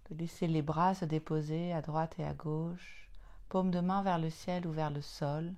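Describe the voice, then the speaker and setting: Slow, low-voiced woman guiding relaxation. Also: steady tone, a little mellow. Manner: soothing, unhurried, short phrases with long pauses. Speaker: yoga nidra guide. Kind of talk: guided relaxation meditation.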